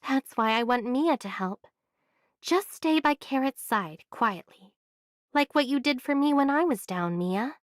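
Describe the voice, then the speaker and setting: A thoughtful young heroine with a fairly high voice, caring and soft-hearted. It is anime game acting with a dry, studio-close sound. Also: female voice, expressive, unhurried, no echo. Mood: concerned, tender.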